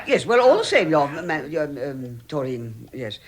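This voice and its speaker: An actor playing a fussy, timid, excitable hobbit gentleman who stammers primly, in vintage radio drama style. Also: fairly high pitch, unhurried. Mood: flustered, businesslike. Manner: stammering, flustered, prim. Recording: vintage 1960s radio recording.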